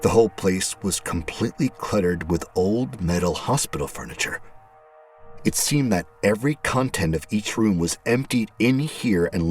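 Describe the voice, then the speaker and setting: A man delivering scripted first-person horror narration in a dramatic, suspenseful way, with deliberate phrasing. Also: sits low, a little mellow. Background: music.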